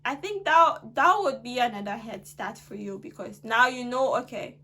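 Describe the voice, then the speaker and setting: A woman's voice: fairly high pitch, normal speed. A young Ghanaian woman giving earnest, emphatic advice to camera in a vlog. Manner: earnest, animated, rising to emphatic exhortation. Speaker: vlogger.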